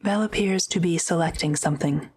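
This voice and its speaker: A woman narrating a scripted audio drama in a measured, descriptive way. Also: lowish voice, a little mellow, breathy edge.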